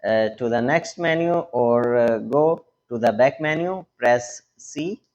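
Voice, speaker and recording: A man presenting a hands-on, step-by-step technical tutorial, speaking instructionally in short, halting phrases. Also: medium pitch, a little mellow, dull audio. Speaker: tech tutorial host.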